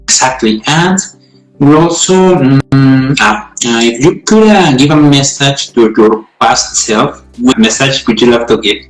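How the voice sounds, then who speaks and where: Eager host in an echoey room. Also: male voice, mid-range voice. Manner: eager, repeats yes and okay, halting non-native English. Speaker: interview host. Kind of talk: interview questions. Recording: echoey room with faint music.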